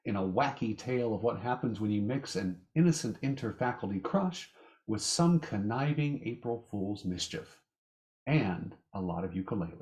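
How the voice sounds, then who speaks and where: A man announcing a radio show in a measured, theatrical, scripted style. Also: lowish voice, expressive, darkish tone.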